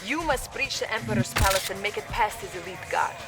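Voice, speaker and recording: A woman's voice: medium pitch, animated, brisk, lightly acted. A woman playing a loyal Soviet military intelligence officer, formally briefing in a video game. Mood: brisk, formal. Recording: music behind the voice.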